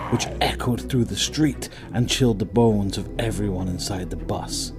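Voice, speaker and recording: Male narrator, lowish pitch, dramatic and suspenseful scripted horror storytelling, measured phrasing, music bed. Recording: voice over prominent music.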